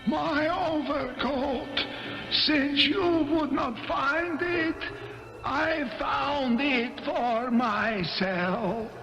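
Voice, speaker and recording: Radio horror: wronged clerk's specter, very high, dragging wail, dull vintage sound. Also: male voice, heavily acted. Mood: vengeful. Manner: drawn-out, wailing declamation. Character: wailing ghost of a wronged poor clerk. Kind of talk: 1970s radio drama acting. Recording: dull old radio broadcast with music.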